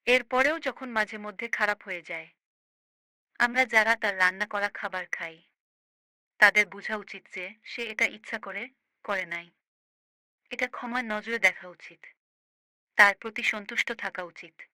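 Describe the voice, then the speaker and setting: A woman's voice: medium pitch, unhurried, long pauses, pushed and bright, no echo. A woman playing a firm, caring older sister in a cartoon, lecturing her grown brother in an emphatic, preachy way. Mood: reproving. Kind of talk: amateur cartoon voice acting.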